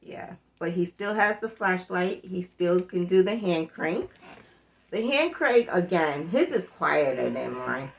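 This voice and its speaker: Chatty woman with a lowish voice. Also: lively intonation, measured pace, gravelly. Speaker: prepper vlog host. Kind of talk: casual product demonstration chat.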